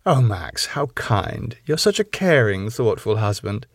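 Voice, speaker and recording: Middle-aged wife read by a man, domineering, mocking, deliberate delivery with quiet menace, dry sound. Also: fairly low pitch, big pitch swings, husky, no room sound, exaggerated voice. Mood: mock sweet. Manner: slow, controlled menace and sarcasm.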